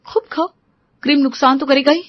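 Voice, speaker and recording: A woman's voice: high voice, fairly even intonation, a little fast, no echo, muffled recording, pitched above the performer's own voice, lightly acted. A woman voicing a strict, fed-up young daughter-in-law, firm and lecturing, in a family story. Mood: exasperated.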